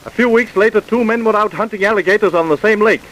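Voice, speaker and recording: A man's voice: sits high, fast, a bit bright, rough recording. A man acting as a grave, declamatory narrator of a vintage radio true-crime programme. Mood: grave, emphatic. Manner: declamatory, emphatic. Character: grave crime-show narrator reporting case facts. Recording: hissy vintage radio recording.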